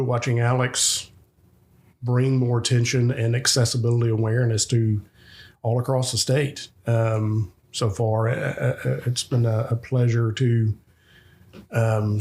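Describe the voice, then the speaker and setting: Flat-voiced middle-aged man, halting speech. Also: medium pitch. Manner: halting, many fillers. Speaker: guest, parent. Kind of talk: interview talk.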